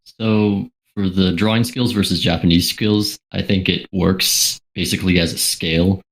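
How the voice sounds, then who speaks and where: A man with a very low voice gives advice in a measured, explanatory way. A gravelly fry runs through his speech. He talks casually, dropping in the odd "uh". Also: steady tone. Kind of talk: casual panel chat.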